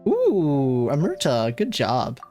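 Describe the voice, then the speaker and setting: Female game streamer: deep for a woman, casual slangy reactions, quiet game music underneath. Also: wildly swooping pitch, slow pace. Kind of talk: casual live gameplay commentary.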